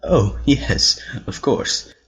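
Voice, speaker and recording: An actor playing a grave, cautious void scientist in a fan comic dub, speaking formally in a husky, rough voice. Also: a bit bright. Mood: grave, guarded. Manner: formal, measured, reluctant.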